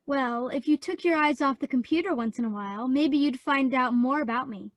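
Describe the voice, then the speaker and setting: A woman acting a spirited, petulant young girl who loves art and has a sharp tongue, in a radio play. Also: high voice, fairly quick, dry recording, clean studio sound, lightly acted. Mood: pointed. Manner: petulant, sarcastic.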